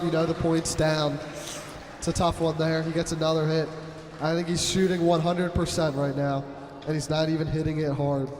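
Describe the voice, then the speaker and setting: A young man giving loud, chatty, joking play-by-play commentary on a school volleyball match from an echoey gym. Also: fairly high pitch. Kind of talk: amateur sports commentary. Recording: roomy echoing gym with long reverb.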